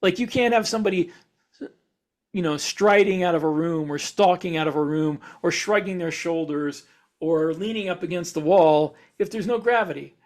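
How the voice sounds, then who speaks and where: Man, fairly high voice, casual podcast talk, explanatory, wandering sentences, author and co-host. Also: normal speed.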